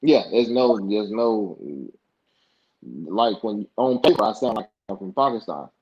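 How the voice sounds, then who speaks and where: A man's voice: lowish voice, a little slow. A young man from the United States, a podcast guest, telling stories casually and acting out past conversations in colloquial speech. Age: young adult. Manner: animated, acts out past dialogue in colloquial speech. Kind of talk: casual interview storytelling. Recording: dull lo-fi podcast audio.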